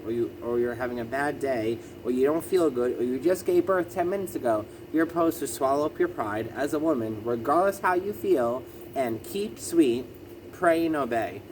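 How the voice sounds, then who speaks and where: A man's voice: mid-range voice, moderate pace, noisy background. A man with a lisp talking through a casual explanatory vlog, hesitant and full of ums and self-corrections. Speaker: vlogger.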